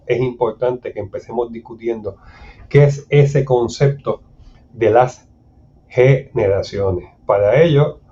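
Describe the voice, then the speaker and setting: A man with a Puerto Rican accent gives a formal, measured lecture as a mediator speaking at a seminar. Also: mid-range voice, warm tone, slight background noise. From Puerto Rico. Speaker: conflict mediator giving a seminar talk. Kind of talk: prepared lecture for a recording. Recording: dull, muffled lo-fi recording.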